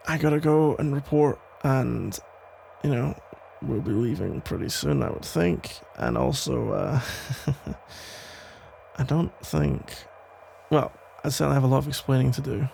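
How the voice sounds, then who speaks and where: Male actor for protective police officer ex, ASMR roleplay: very creaky, gravelly voice, tender, halting, soothing delivery over faint background noise. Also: mid-range voice, expressive, a little mellow. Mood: wry, protective. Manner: hesitant, reassuring, halting phrases with pauses. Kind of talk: intimate ASMR roleplay, soft conversational acting.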